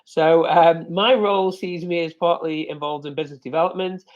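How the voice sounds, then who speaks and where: A man's voice: a little high, steady tone, normal speed, highs cut off. A man with a cold, speaking as a panelist on a business webinar, rambling and repeating words as he thinks aloud. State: sniffly with a cold. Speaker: director of SEO, panelist. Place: video call.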